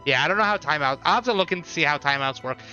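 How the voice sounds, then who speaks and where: Male streamer, casual reactions, forceful bright voice, slightly muffled recording, game music behind. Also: on the high side, animated, fast. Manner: offhand, reacting to dialogue and chat. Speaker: video game live streamer. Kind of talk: casual live stream commentary. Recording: slightly dull sound, music underneath. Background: faint game music.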